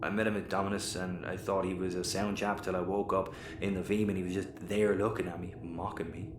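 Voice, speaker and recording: Roguish hungover Irish starship captain, played by a man, very low voice, rambling, echoey noisy room, audio comedy. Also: flat delivery, brisk, lightly acted. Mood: rambling, uneasy. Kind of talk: sci-fi audio comedy acting. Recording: roomy echo, clear background noise.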